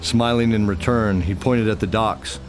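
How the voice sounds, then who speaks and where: Deep voiced guy narrating fantasy audio drama, music playing behind him. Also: steady tone, moderate pace. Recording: music bed under the voice.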